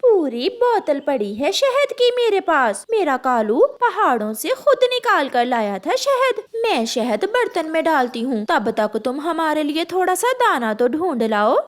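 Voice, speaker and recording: A woman's voice: sits high, big pitch swings, a little slow, few pauses. A woman voicing a sly, boastful female crow in a cartoon.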